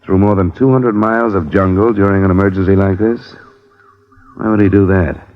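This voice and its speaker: Man playing cool American radio gumshoe, very low voice, terse and probing, on a dull old recording. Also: fairly even intonation, moderate pace, natural voice. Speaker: lead actor and narrator. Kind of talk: old-time radio detective drama acting and narration. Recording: muffled vintage radio, music behind.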